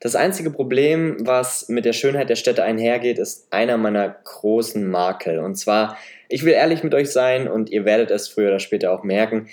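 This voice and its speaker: A man in his twenties speaks in a fairly low, nasal voice with a light Saxon colouring to his German. He hosts a solo podcast from notes, chatting to his listeners with a self-deprecating touch. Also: normal speed, a little mellow. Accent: slight Saxon German. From Leipzig, eastern Germany. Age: 20s. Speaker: podcast host. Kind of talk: semi-scripted solo podcast monologue. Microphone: podcast microphone.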